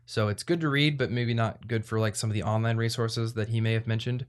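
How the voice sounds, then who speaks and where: A man with a fairly low voice hosts a casual advice podcast. He talks conversationally, explaining his points in an informal way. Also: steady tone, brisk. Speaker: podcast host.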